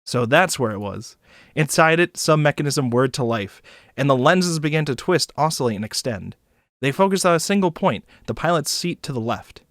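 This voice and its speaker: A man reading a story aloud as a streamer, in expressive narration with casual asides. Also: on the high side, normal speed, dry recording, studio quality. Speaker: streamer narrating a visual novel.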